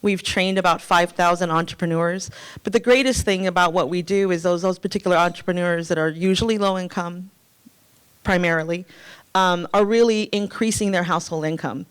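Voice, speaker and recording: A woman's voice: lowish voice, fairly even intonation, fairly quick, clear, resonant tone. A woman presenting on stage in a large ballroom with a handheld mic, using lots of fillers. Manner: filler-heavy, restarting often. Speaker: chief program officer of a nonprofit. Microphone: handheld microphone.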